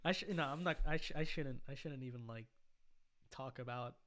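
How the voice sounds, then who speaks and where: A young American man hosting a casual livestream, chatting and reading out viewer comments in a halting way that often repeats phrases. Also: mid-range voice, a bit bright. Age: young adult. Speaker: livestream host.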